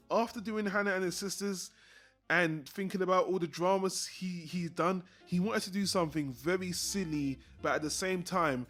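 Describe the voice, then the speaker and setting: Male podcast host, slightly high pitch, blokey and bantering, casual review chat with music underneath. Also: a bit bright.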